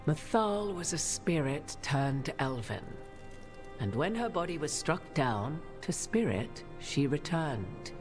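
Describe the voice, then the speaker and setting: A woman playing an imperious, enigmatic sorceress in a fantasy game, with archaic, measured and knowing speech. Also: deep voice, sing-song, music in the background, full character voice. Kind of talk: dramatic fantasy game dialogue.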